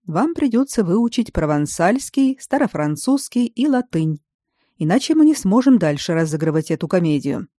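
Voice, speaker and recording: A woman voicing a calm, learned male baron in an audiobook, speaking in a measured, explanatory way. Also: on the low side, no echo, clean studio sound. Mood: explaining.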